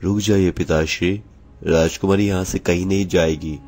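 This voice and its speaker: Man as an earnest deep-voiced cartoon prince. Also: steady tone, moderate pace, darkish tone. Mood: defiant. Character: lovestruck young prince, earnest and resolute. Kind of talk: Hindi cartoon fairy-tale dialogue.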